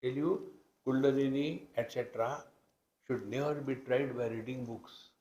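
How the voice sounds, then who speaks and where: Male spiritual teacher, Indian accent, mid-pitched voice, conversational scripture discourse with emphatic phrasing, roomy echo. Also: normal speed, a little mellow, muffled recording. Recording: roomy, echoing hall sound.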